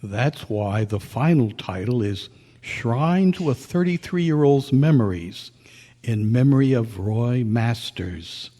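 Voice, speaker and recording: Male, gentle radio-play storyteller read by a man, unhurried, echoing hall. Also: mid-range voice, expressive. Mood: gentle, concerned. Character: Colonel Bear, kindly listener comforting a ghost, also narrator. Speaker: narrator and actor. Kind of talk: staged radio drama reading. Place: live club meeting in a hall. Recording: echoey hall with long reverb.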